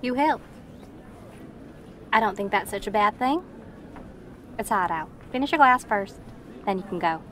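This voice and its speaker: A woman playing a shrewd, unhurried townswoman in a western audio drama, with a probing, matter-of-fact manner. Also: medium pitch, animated, a bit bright, a little hiss or hum. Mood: wry, easing.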